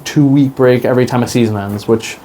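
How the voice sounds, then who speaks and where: A man chatting casually as a podcast creator in an interview, halting and informal, with some self-deprecation. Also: mid-range voice, steady tone, warm tone, slight background noise.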